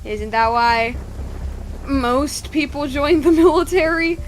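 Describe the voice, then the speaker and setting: A woman's voice: high voice, takes it slowly, slight background noise, rough recording. A woman streamer giving casual gameplay commentary, thinking aloud in repeated questions. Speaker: game streamer.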